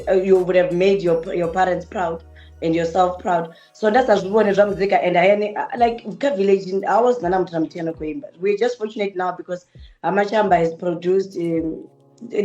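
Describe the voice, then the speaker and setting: A woman with a Venda accent and a deep voice, a musician recalling her village childhood as a guest on a radio show. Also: fairly quick, lo-fi sound, soft background music. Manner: relaxed, reflective, mixes English and Venda. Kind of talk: casual radio interview. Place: radio studio.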